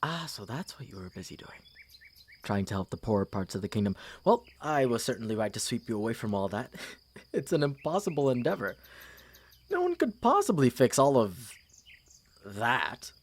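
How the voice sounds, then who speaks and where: A man playing a smug, condescending young prince with a pompous, smooth manner in an ASMR fantasy audio roleplay. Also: medium pitch, normal speed. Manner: smooth, pompous, theatrically expressive.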